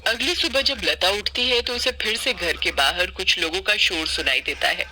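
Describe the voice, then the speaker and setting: A man's voice: sits high, normal speed, bright, forceful tone, slight background noise, low-quality recording. A man narrating a cartoon story from a script.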